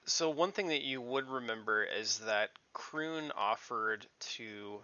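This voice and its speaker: A man's voice: mid-range voice, takes it slowly, sharp tone, no echo. A man running a tabletop game session as game master, talking casually and laughing easily. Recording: muffled lo-fi mic.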